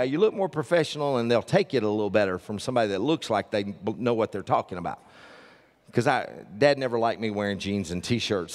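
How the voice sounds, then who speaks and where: An older man preaching as a pastor, folksy and joking with the congregation, on a headset mic from a church stage. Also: mid-range voice. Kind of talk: sermon with announcements. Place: large church stage with audience. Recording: long reverb of a large hall.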